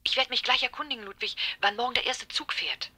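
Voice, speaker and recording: A woman playing a brisk, composed mother in a radio play. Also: a little high, pushed and bright.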